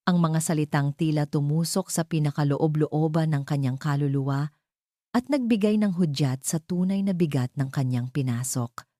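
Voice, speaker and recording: A woman's voice: sits low, moderate pace, mellow, no echo, studio quality. A woman with a Filipino accent reading a scripted, dramatic story as its narrator.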